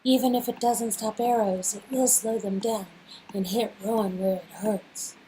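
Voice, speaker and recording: A woman's voice: mid-range voice, fairly even intonation, normal speed, warm tone, slight background noise, rough recording. A woman performing interactive game dialogue in a casual, acted read-through style. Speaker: performer reading game script.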